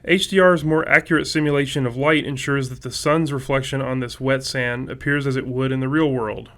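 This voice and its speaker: A man's voice: mid-range voice, steady tone, fairly quick, fairly bright tone. A man reading scripted technical commentary as a game developer.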